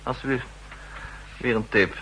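An actor playing an eager, earnest young intelligence officer with some stubbornness, in the style of a 1960s radio drama. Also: male voice, mid-range voice, a little slow, fairly bright tone, husky, low-quality recording. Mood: frustrated, reporting. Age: young adult.

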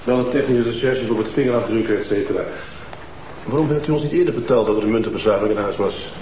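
A man plays a shrewd old-hand detective in a TV crime drama, quick and dry as he probes with his questions. The sound is roomy with a steady noisy bed underneath. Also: medium pitch, close to an everyday voice. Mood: probing. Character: shrewd veteran male police detective. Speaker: police detective.

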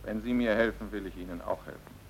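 A man's voice: on the low side, fast talker, a little hiss or hum, lightly acted, rough recording. A male actor playing a stern, insistent military police interrogator in a German drama, clipped and commanding. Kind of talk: German radio drama or film-dub acting.

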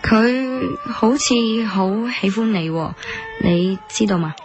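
A woman's voice: mid-range voice, slow pace, darkish tone, natural voice, poor audio. Radio drama lead, played by a woman: proud, lovesick young café woman, Hong Kong Cantonese, earnest and easily indignant, over music. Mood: pointed. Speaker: lead actress and narrator. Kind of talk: radio drama acting with narrated inner monologue. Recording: studio drama with music underneath.

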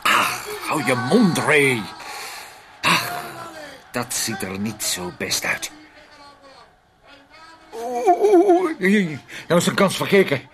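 A man's voice: a little high, huge pitch swings, slow, gravelly, pauses often, heavily acted. An actor playing a domineering man in a radio play, sarcastically needling and teasing his timid housemate, swinging between outbursts and muttering. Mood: excited, annoyed. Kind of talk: Dutch radio play acting.

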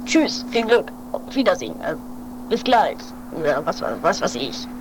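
A woman's voice: medium pitch, very animated, unhurried. A woman playing a nervous, devoted companion of a daredevil in an improvised comic radio play, muttering dramatically and repeating words. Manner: dramatic, repetitive, agitated muttering. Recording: noisy home-made 1980s radio play recording.

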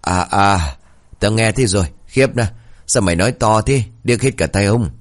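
A man's voice: fairly low pitch, normal speed, pitched above the performer's own voice, lightly acted. A man voicing a deaf, cranky old village man near ninety who mishears and scolds crudely. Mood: grumpy.

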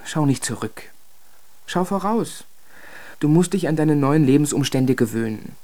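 An actor in a German radio drama playing a young doctor, reasonable and controlled with faint reproach. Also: male voice, a little high, lively intonation, measured pace, warm tone. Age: young adult.

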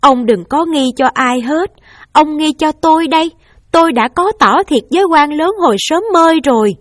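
Woman doing a distraught mother, desperate, pleading, raised and loud, dry sound. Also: high-pitched, animated, moderate pace, no echo, voice pushed up. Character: distraught middle-aged mother protecting her daughter.

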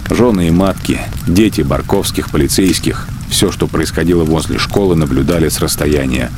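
A man narrating a literary radio drama in measured, emphatic short phrases. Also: extremely low pitch, background noise. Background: music under the narration.

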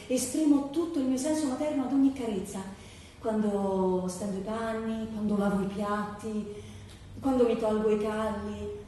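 A woman's voice: medium pitch, dark tone, natural voice. A woman playing an over-agreeable, desperate job applicant in her thirties, gushing and repetitive in a theatrical satirical monologue. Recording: very echoey stage, distant mic, faint noise.

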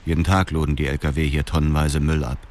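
An actor playing a cold, vengeful widower who narrates his brutal deeds in a detached, measured crime radio drama. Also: very deep voice, little pitch movement, a little mellow, slight background noise. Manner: detached, measured, matter-of-fact. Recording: music under the voice.

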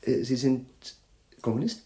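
A man playing an absent-minded, nervous chemistry professor in a radio play, formally polite and often halting as he gropes for words. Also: medium pitch, expressive, warm tone, close to an everyday voice. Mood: nervous, courteous. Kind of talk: German radio play acting.

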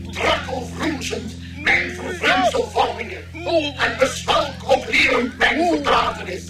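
An actor playing a pompous, menacing alien ship captain in a radio drama, declaiming slowly and theatrically through an echoing effect. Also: male voice, extremely high pitch, exaggerated voice. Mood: grandiose. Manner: slow, theatrical, shouted declaiming. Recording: echoey, distant voice under loud music.

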